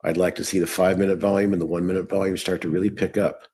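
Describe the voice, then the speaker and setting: A deep, husky male voice commenting live on stock charts and trades. He is hoarse, as if losing his voice, and talks things through out loud while clicking around his screen. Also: little pitch movement, rapid-fire. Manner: thinking aloud while working on screen. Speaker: trading show host.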